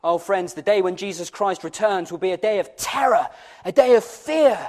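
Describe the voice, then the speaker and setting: A man's voice: sits high, expressive, moderate pace, darkish tone. A man preaching an evangelistic sermon in a fervent, solemn and emphatic way, building on repeated phrases and rhetorical questions.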